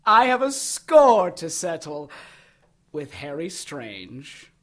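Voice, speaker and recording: A woman playing a sly, sardonic villain's henchwoman in an audio drama, fawning with mocking, theatrical flattery. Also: low voice, slow, exaggerated voice. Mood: gleeful scheming.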